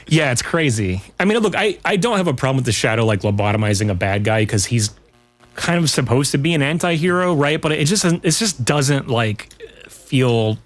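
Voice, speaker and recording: A man's voice: medium pitch, lively intonation, brisk. A man talking casually as a podcast guest, in an informal way with rambling asides. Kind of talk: casual pop culture chat.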